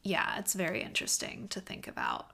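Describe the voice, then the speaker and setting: A woman's voice: mid-range voice, huge pitch swings, fairly quick, vocal fry, sharp tone. A young woman co-hosting a podcast in casual chat, stuffy and sniffly from allergies, chatty and full of fillers. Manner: chatty, tangent-prone, lots of fillers. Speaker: podcast co-host.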